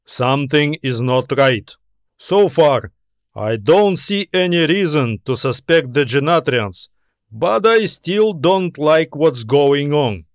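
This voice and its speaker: A man's voice: on the high side, animated, slow pace, no room sound, studio quality. A man voicing a fearsome, irritable military admiral who speaks in a commanding, snide way in a science fiction audiobook. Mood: thoughtful, commanding. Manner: commanding, snide, barking questions.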